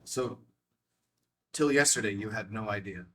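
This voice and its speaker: A man's voice: fairly low pitch, a little slow, bright, forceful tone. A man acting in a table read as a dazed, earnest man who speaks hesitantly in broken phrases. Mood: bewildered.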